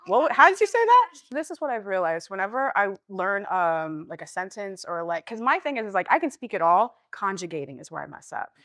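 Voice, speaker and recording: A woman in her thirties from the Southeast US, chatting in an interview and acting out quotes with big jumps in pitch. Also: big pitch swings, a little fast, few pauses, fairly bright tone, no room sound, clean studio sound. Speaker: guest actor. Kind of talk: casual interview chat.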